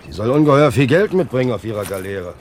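An actor playing a gruff, practical soldier gossiping on campaign in a German radio play. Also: male voice, mid-range voice, big pitch swings, quick pace, a little hiss or hum, close to an everyday voice. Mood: gossipy. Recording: 1970s radio drama, faint outdoor ambience.

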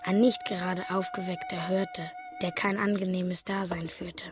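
A woman's voice: on the low side, fairly even intonation, a little slow, close to an everyday voice. Radio play role: a pensive, curious young boy played by a woman, intimate delivery over faint music. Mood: hushed, pensive. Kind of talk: radio play acting, inner voice. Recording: studio with faint music behind.

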